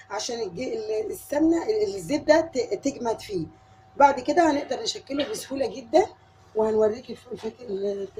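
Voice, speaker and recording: A woman's voice: fairly high pitch, warm tone, a little hiss or hum, rough recording. A woman with an Egyptian accent and a voice tired from a cold, chatting step by step to the viewer as she cooks at home. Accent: Egyptian Arabic. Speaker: home cook. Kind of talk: cooking tutorial. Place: home kitchen.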